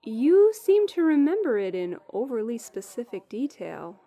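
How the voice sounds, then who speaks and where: Casting: woman to play stubborn, self-doubting young heroine for a visual novel; high register, very animated, questioning delivery. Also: measured pace, exaggerated voice. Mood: subdued, curious. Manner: earnest, expressive, questioning. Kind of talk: fan visual novel voice acting.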